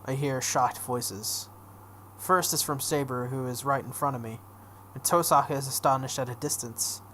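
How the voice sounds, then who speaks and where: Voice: mid-range voice, steady tone, normal speed, fairly bright tone, slight background noise. A let's play host reading visual novel text aloud dramatically, breaking off into casual reactions.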